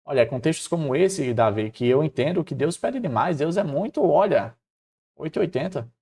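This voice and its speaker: Mellow Northeastern Brazilian man teaching Scripture. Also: a little high, moderate pace, husky. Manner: expressive, acts out dialogue colloquially, rhetorical questions. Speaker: Bible lesson presenter. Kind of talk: informal devotional teaching with storytelling.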